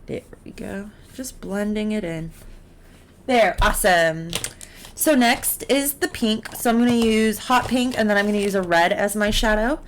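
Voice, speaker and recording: Female art teacher, casual and encouraging, mid-pitched voice, narrating each drawing step without rush. Noticeable background noise. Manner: encouraging, unhurried, talking through each step while drawing.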